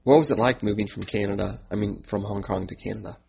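Gravelly, raspy male interviewer. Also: on the low side, steady tone, quick pace. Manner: short interested prompts and questions. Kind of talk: casual interview chat. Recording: dull lo-fi recording.

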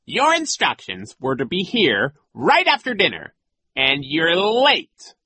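A man's voice: on the high side, wildly swooping pitch, pushed and bright, breathy edge, dry recording, pitched above the performer's own voice, exaggerated voice. A man voicing a menacing, impatient storybook villain posing as a coach, scratchy, condescending and commanding. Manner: condescending, commanding, drawn-out words.

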